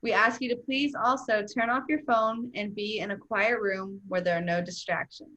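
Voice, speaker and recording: A woman's voice: mid-range voice, moderate pace, lo-fi sound. A young woman moderating a focus group over a video call, laying out rules and asking questions in an organized way. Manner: organized, reads rules aloud in a steady tone.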